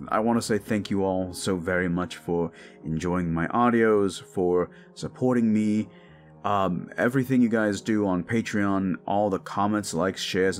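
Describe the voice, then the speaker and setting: A man giving an informal, rambling outro to his listeners as the creator of an audio drama. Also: low voice. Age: adult with young child. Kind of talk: casual outro chat to listeners.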